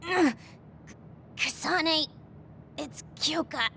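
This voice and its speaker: A woman's voice: high voice, extremely slow, gravelly, bright, forceful tone, slight background noise, exaggerated voice. An actress playing a lazy, laid-back young woman in a video game. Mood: despairing. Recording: music behind the voice.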